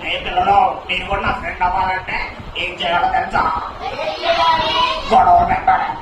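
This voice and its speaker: A man's voice: high-pitched, monotone, normal speed, lo-fi sound, lightly acted. A man voicing a mischievous tempter puppet in a children's show, teasing and cajoling with exclamatory questions. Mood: gleeful scheming. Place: hall with live audience. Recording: echoey hall, distant mic, loud crowd noise.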